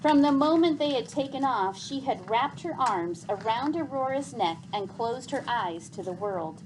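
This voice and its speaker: Female storybook narrator, higher-voiced, very animated, dramatic and deliberate read-aloud delivery. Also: noisy background. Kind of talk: expressive scripted storybook narration.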